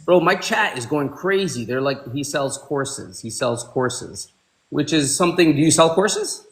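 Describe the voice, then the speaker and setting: A man's voice: on the high side, lively intonation, measured pace, reverberant. A man in his forties, born in Pakistan, hosting a casual livestream chat from a room at home, calling people bro and my man. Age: 40s. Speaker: livestream host.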